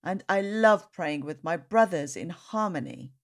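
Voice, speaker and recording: A woman reading the words of tender, devout family members in a children's storybook, gently and earnestly. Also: fairly low pitch, expressive, unhurried.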